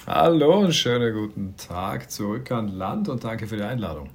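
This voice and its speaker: A middle-aged man from Austria, a ship captain, chatting in an interview while searching for words with many pauses and restarts. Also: lowish voice, very animated. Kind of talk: casual interview answers.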